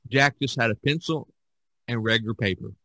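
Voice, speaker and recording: A man's voice: medium pitch, a little fast, bright, forceful tone, no room sound, rough recording. An older man in a casual podcast discussion, rambling and opinionated as he talks. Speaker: podcast host.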